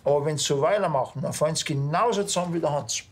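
A dry, laconic Bavarian village cop in a TV crime comedy. His fairly high voice crackles with fry and sounds offhand. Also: very fast. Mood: dry, matter-of-fact. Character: laconic, dry small-town policeman.

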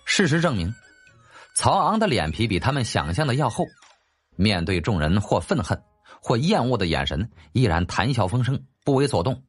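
一位男演播者以戏剧化的方式讲述历史小说，是照稿演播的有声书旁白。. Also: male voice, fairly low pitch, very animated, normal speed, husky, no room sound, soft background music. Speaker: audiobook narrator. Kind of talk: dramatic scripted narration of a historical novel.

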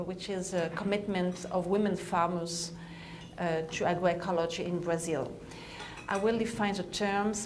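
Hesitant woman lecturing with a non-native accent. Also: lowish voice. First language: not English. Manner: hesitant, many fillers and self-corrections. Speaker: guest lecturer. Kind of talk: university lecture. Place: large university seminar room. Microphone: far room mic, then handheld mic. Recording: noisy room recording.

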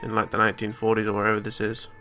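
A man's voice: on the low side, monotone, rapid-fire, sharp tone, soft background music. A man giving casual, improvised video game commentary, jokey and sweary, with bits of playful baby-talk. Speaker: let's play host.

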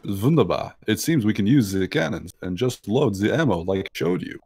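A woman's voice: rumbling low, animated, darkish tone, slightly rough, voice pushed down, full character voice. A woman reading aloud for an eccentric male scientist in a video game, putting on a shaky mock German accent. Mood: pompous. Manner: halting, admits accents are hard for her.

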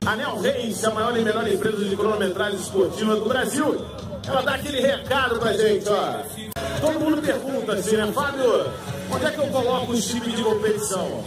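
A man announcing a running event outdoors in a hyped, projecting manner with drawn-out words. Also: high voice, distant mic, low-quality recording. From Brazil. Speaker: race announcer. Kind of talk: live sports event announcing. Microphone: handheld microphone. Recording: outdoor PA, loud music and crowd.

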